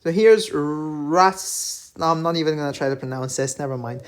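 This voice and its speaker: A man whose first language is French, talking through his game replays in a relaxed voice-over full of fillers and self-corrections. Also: on the high side, normal speed, smooth. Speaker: gaming channel host. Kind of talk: gameplay commentary voice-over. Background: faint background music.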